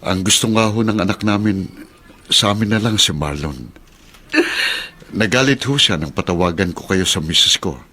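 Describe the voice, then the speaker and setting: Deep, husky, humble taxi driver. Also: male voice, animated, moderate pace, long pauses, bright, forceful tone, heavily acted. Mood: pleading. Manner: deferential, hesitant, earnest. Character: humble, caring working-class taxi driver and father. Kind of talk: Filipino radio drama acting.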